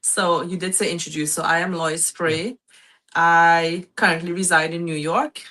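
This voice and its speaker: Trinidadian woman guest, bright tone, earnest and warm in content, casual call-in chat. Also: lowish voice, little pitch movement, normal speed. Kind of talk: casual talk show chat over phone.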